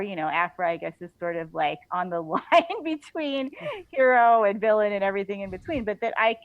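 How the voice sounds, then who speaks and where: A woman speaking as a guest in a casual interview, informal and halting, often chuckling as she talks. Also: fairly low pitch, vocal fry, no room sound, narrow, tinny audio. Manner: chuckles often while talking; informal, halting, frequent "like" and "you know".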